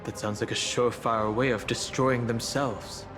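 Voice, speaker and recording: A man's voice: medium pitch, fairly even intonation, moderate pace, over music, close to an everyday voice. A man voices a stoic young spearman hero from a fantasy game. His mid-range voice is serious and wary, with terse, reserved delivery. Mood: dry, resolute. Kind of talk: English game dub voice acting.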